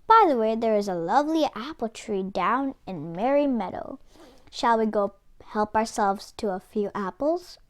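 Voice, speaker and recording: A woman's voice: on the high side, lively intonation, normal speed, lightly acted. A woman voicing a mischievous, falsely charming wolf in a children's storybook, with a coaxing, theatrical delivery. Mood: smoothly polite. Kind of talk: children's storybook read-aloud.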